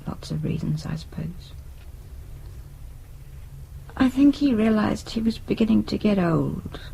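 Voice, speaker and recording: A woman's voice: medium pitch, expressive, measured pace, gravelly, dark tone, husky, heavily acted, low-quality recording. An actress playing a resigned, anxious middle-aged British wife and mother in a naturalistic radio drama, hesitant and wistful.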